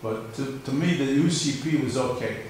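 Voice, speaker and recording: A man's voice: medium pitch, moderate pace, darkish tone. A middle-aged male professor with a New York area American accent, giving a conversational university lecture and quizzing his class. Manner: conversational, questions the class and answers himself. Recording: very echoey room, mic far off, faint hiss.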